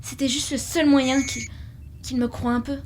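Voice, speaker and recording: Woman as an earnest, higher-voiced young girl. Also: level tone, moderate pace, natural voice. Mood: earnest, confiding. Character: earnest young girl travelling with a space captain. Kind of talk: science-fiction audio drama. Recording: clear background noise.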